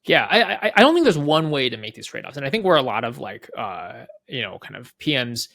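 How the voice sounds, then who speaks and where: A man's voice: mid-range voice, big pitch swings, fairly quick, vocal fry, fairly bright tone, no room sound, clean studio sound. A man giving casual interview explanations as a guest, thinking aloud and often checking in with "right?". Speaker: head of product, interview guest.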